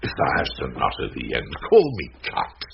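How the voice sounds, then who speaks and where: An actor playing a boozy, scheming, jovial middle-aged knight with a British accent and gravelly voice in a Shakespearean radio comedy. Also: male voice, extremely low pitch, huge pitch swings, moderate pace, exaggerated voice. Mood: tipsy, drowsy. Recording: muffled, noisy old radio broadcast.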